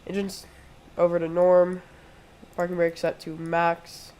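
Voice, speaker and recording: A man's voice: high voice, monotone, a little slow, a little mellow, clear, resonant tone. A congested young man, sick with a throat infection, streaming from home and chatting through each step of a flight simulator session at his desk mic. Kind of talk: casual live play-by-play.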